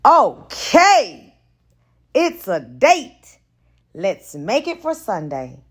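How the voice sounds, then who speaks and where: A woman's voice: a little high, huge pitch swings, extremely slow, husky, voice pushed up, heavily acted. A woman narrator voicing a smitten, conciliatory man courting someone in an audiobook romance. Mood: flirtatious.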